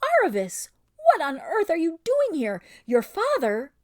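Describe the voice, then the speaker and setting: A woman reading aloud and putting on a loud, frivolous young noblewoman who gushes in a shrill, drawling voice. The sound is dry and close. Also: big pitch swings, moderate pace, no room sound. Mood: gushing. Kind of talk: storybook read-aloud.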